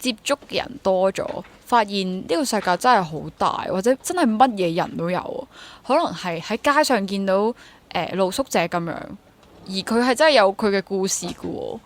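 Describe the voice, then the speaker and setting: A woman's voice: mid-range voice, lively intonation, moderate pace. A young adult woman with a Hong Kong accent chats casually and reflectively as an interview guest, mixing in English words. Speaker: interview guest, theatre actor.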